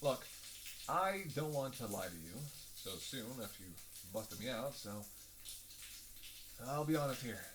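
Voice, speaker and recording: A man's voice: mid-range voice, very animated, a little slow, pauses often. A man playing a sardonic, flirtatious male vampire in a roleplay audio drama, with a teasing, playful and intimate manner. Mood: wry, candid. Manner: teasing, foul-mouthed, playful, intimate delivery with sighs. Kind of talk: scripted roleplay audio drama addressed to listener.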